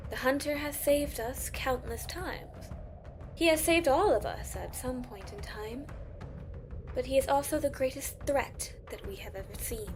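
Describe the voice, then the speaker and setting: A woman voicing a haughty siren empress in a fantasy audio drama, regal, theatrical and deliberate. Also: high-pitched, a little mellow.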